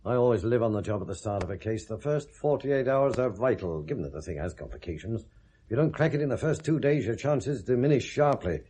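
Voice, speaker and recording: An actor playing a shrewd, sceptical British police detective in a 1970s radio drama, probing with dry, sardonic questions. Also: male voice, mid-range voice, expressive, a little fast, warm tone, a little hiss or hum, close to an everyday voice. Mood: brisk, probing.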